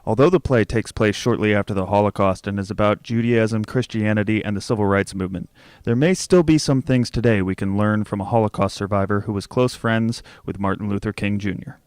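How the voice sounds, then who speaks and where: A man's voice: fairly low pitch, brisk, darkish tone, smooth, no echo. A man reading scripted news narration as a reporter. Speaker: reporter signing off.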